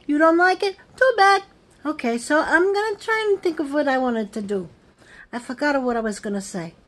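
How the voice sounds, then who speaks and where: An older woman tells family stories in a put-on Italian-American accent, rambling from one memory to the next. Her voice sits high for a woman, and she talks straight to her viewers in a chatty, offhand way. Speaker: vlogger, family storyteller.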